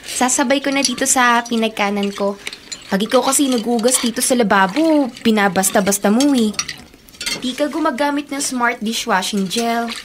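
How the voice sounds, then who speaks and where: A woman's voice: fairly high pitch, close to an everyday voice. A woman playing a worried young schoolgirl, a younger sister, in a Filipino radio drama, with a girlish, plain-spoken manner and a Filipino accent. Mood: chiding. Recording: noisy, clinking dishes behind.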